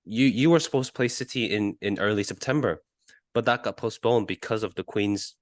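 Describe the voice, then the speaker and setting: A man co-hosting a casual football podcast, reasoning in a measured, halting way. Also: on the low side, no room sound, clean studio sound. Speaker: podcast co-host.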